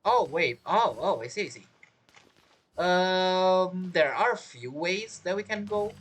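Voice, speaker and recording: A man's voice: high-pitched, lively intonation, takes it slowly, fairly bright tone. A man giving casual game commentary, musing aloud, repeating phrases and asking himself questions. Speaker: gamer narrating a playthrough. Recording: game music behind the voice.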